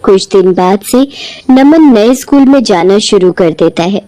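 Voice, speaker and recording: A woman narrating an animated children's story in a lively storytelling manner. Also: mid-range voice, a little slow, rough recording. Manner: animated, shifts into character voices.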